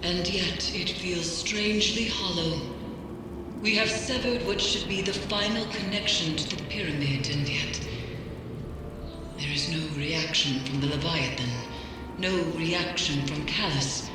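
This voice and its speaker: A woman playing a solemn, brooding mystic in a video game, speaking gravely and deliberately. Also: lowish voice, heavy background noise, lightly acted. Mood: troubled, grave. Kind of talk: dramatic scripted game dialogue. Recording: heavy echo with music behind.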